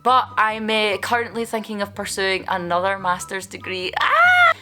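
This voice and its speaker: A woman's voice: mid-range voice, big pitch swings, moderate pace, over music. A woman, vlogging in a chatty, candid way as she answers viewer questions, with playful asides. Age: 20s.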